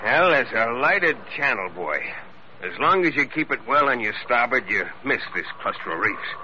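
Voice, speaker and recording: An actor playing an old, stubborn, drunken sea captain, rambling and halting in salty seafaring talk, in 1940s radio drama style. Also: male voice, medium pitch, sing-song, pushed and bright. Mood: earnest pleading. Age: older. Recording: noisy, muffled old radio drama recording.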